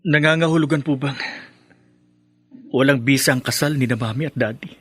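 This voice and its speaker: A man's voice: mid-range voice, fairly quick, fairly bright tone, slightly rough, no room sound, soft background music. A man acting a bitter, spoiled grown child with a scornful, theatrical delivery in radio melodrama. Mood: shocked, disbelieving.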